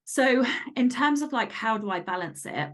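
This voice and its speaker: A woman speaking as a guest author and podcaster in casual interview chat, candid, animated and emphatic. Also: fairly high pitch, normal speed.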